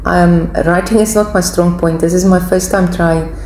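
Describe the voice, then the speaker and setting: A woman's voice: lowish voice, fairly even intonation, normal speed, darkish tone. A woman in her forties with a South African accent, chatting casually to viewers as she answers their questions. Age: 40s. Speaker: video channel host. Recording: echoey room with faint background noise.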